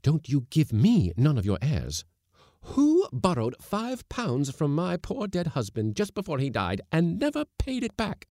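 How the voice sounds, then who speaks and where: A man voicing a hot-tempered female ship's cook in a storybook, shrill, hectoring and indignant. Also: wildly swooping pitch, measured pace, mellow, no echo, studio quality, heavily acted. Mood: wrathful accusing. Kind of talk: storybook character voice in audiobook.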